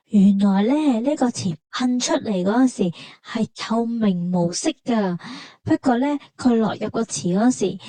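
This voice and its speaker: A woman speaks Hong Kong Cantonese in a fairly high, very mellow voice. She narrates a trip conversationally, explaining each thing like a storyteller. The recording is close and very dry. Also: no echo. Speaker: travel vlog narrator. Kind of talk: conversational scripted travel narration.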